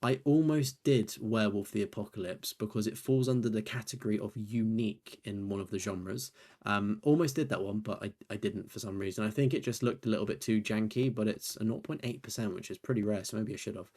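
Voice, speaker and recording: A young man comments casually on video games, his voice tired and fading after a long stream. He talks in a loose, rambling way, full of fillers and self-deprecating asides, and his voice sits a little on the low side. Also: brisk, mellow. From United Kingdom. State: tired, voice nearly gone. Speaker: gaming YouTuber and streamer. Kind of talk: casual commentary reviewing a trophy list.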